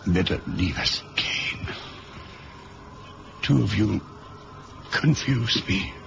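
An actor playing a weary, dying agent in a 1970s radio drama, halting and breathy as he struggles for breath. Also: fairly low pitch, big pitch swings, a bit bright, soft background music, heavily acted. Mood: urgent, strained. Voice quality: breathy, croaking. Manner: halting, laboured speech. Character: dying, weary male agent, weak and fading. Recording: dull, noisy old radio recording.

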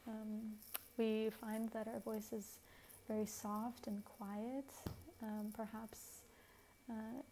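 A woman with a very soft voice giving a gentle, reflective spiritual talk as a host, often pausing with fillers. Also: a little high, vocal fry, a little hiss or hum, rough recording. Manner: gentle, hesitant, many fillers.

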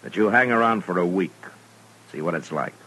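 A man's voice: sits low, expressive, fairly quick, pushed and bright, breathy edge, heavily acted, poor audio. A man acting in a 1950s radio western as a weary frontier marshal, laconic and wry, with dry humor. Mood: weary, decisive. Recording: vintage radio recording, faint noise.

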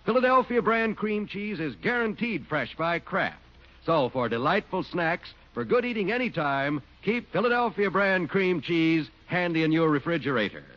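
A man announcing sponsor ads and credits for a 1940s radio show with a smooth, polished delivery. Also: on the high side, animated, moderate pace. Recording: dull 1940s radio broadcast with music.

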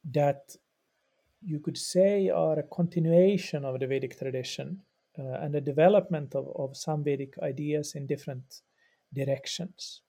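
A man's voice: on the high side, moderate pace, gravelly, mellow. A middle-aged man from Finland whose first language is Swedish, a religious studies professor explaining patiently in a podcast interview, often repeating words as he thinks. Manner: thoughtful and explanatory, with frequent repeats and fillers.

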